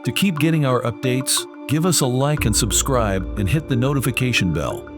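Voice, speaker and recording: A man's voice: medium pitch, animated, normal speed, music in the background. Man reading a channel outro. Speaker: channel announcer. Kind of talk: scripted outro announcement.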